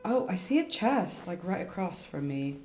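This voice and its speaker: A woman's voice: fairly low pitch, big pitch swings, normal speed, a little mellow. A woman streaming a game with casual, rambling commentary, thinking aloud over game music. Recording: echoey room, faint game music. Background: faint game music.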